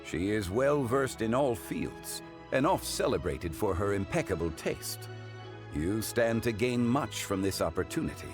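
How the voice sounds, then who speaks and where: A man voicing a calm, learned gentleman consultant in a video game, with measured, courteous, eloquent speech. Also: lowish voice, over music. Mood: courteous, serene.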